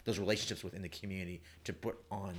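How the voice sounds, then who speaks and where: A man chatting as a playwright guest on a panel, in a halting, rambling, conversational way. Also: sits low, steady tone, slight background noise. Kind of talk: casual panel discussion.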